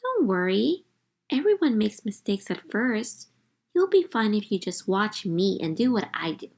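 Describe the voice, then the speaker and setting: Storybook kindergarten girl, eager and earnest, expressive telling at a medium pitch, read by an adult woman. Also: measured pace. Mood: reassuring.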